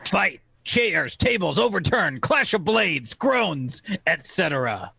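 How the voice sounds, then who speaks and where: Animated, gravelly male radio-play narrator. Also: high voice, slow, dry recording, studio quality. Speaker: narrator actor. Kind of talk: dramatic scripted radio narration.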